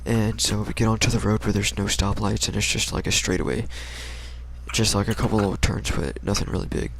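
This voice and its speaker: A man's voice: lowish voice, little pitch movement, fairly quick, creaky. A young American man casually telling a personal story in a rambling, slangy, hesitant way. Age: young adult.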